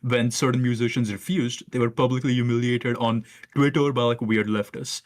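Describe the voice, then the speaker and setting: A man's voice: mid-range voice, fairly even intonation. A man in his late twenties with an Indian accent, arguing heatedly as a caller and peppering his talk with "like," swears and interruptions. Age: late 20s. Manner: fast filler-heavy talk, many "like"s, swears, interrupts. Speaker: caller debating the host. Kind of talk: heated casual debate.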